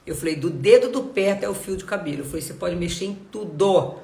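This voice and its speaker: A woman's voice: lowish voice, fast talker, gravelly, mellow, roomy sound. A woman giving a live devotional Bible talk, nasal and congested from a cold, earnest and full of rhetorical questions. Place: home.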